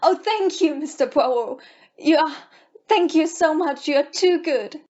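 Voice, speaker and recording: A woman's voice: high voice, moderate pace, darkish tone, highs cut off, natural voice. A woman playing an anxious, remorseful thief in an audio play, earnest and hesitant. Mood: relieved, grateful. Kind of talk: amateur audio play acting.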